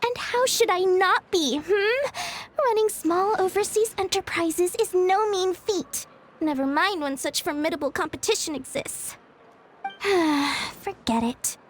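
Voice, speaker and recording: A woman's voice: extremely high pitch, unhurried, a little hiss or hum. A woman voicing a theatrical, scheming small-time merchant woman in a video game. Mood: sly. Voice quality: sing-song, melodramatic, wheedling.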